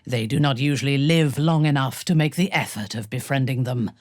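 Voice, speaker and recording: A woman playing a haughty, ruthless dark elf warrior in a fantasy video game, speaking in a measured, imperious, formal way with a breathy, husky voice. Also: a bass voice, no room sound, clean studio sound. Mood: cool, cutting. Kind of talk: scripted video game character lines.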